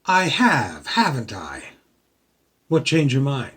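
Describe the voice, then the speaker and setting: An actor playing a plucky, wry gentleman on the run in an audio play. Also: mid-range voice, wildly swooping pitch, slow, husky, full character voice. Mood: eager. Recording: noisy amateur home recording, clicks.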